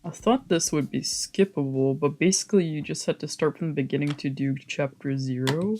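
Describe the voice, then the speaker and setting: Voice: medium pitch, fairly quick, warm tone, soft background music. A streamer giving casual, reactive let's play commentary on a visual novel, often muttering and exclaiming to themself.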